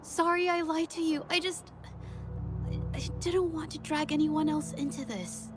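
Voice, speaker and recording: An actress voices a high-pitched, timid young woman from a video game. She is an earnest painter who speaks softly and haltingly, often stammering. Music plays behind her. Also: steady tone, lightly acted. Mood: nervous, apologetic. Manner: hesitant, stammering, soft-spoken.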